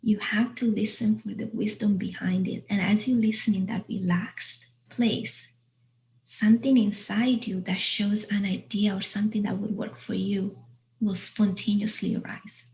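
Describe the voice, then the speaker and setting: A woman hosting an online panel, speaking off the cuff in a halting, reflective way. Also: medium pitch, flat delivery, creaky, darkish tone, rough recording. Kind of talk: unscripted event introduction. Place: online video call.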